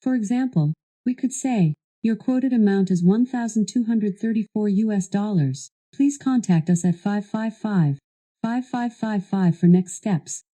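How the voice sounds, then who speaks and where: A woman's voice delivering scripted instructional narration as a voice assistant, in a measured, even and formal way. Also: medium pitch. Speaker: voice assistant guiding a product demo.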